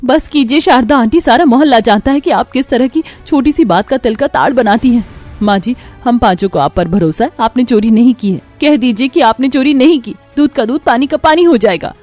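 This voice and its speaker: A woman's voice: on the high side, brisk, a little mellow, faint music behind, pitched above the performer's own voice, close to an everyday voice. Woman as young pregnant daughter-in-law, poor, dutiful, loyal, earnest, respectful, cartoon story. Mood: indignant, loyal.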